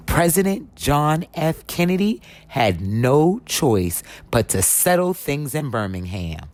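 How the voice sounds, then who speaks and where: A woman reading a history book for children aloud as expressive storytelling, with deliberate, emphatic, dramatic phrasing. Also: a bass voice, no room sound.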